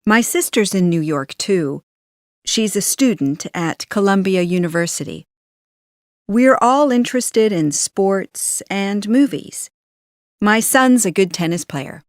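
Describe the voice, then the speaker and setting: Lady, slow careful english, dead dry studio sound. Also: medium pitch, animated, no room sound. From Brazil. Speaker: speaker introducing herself. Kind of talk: scripted self-introduction.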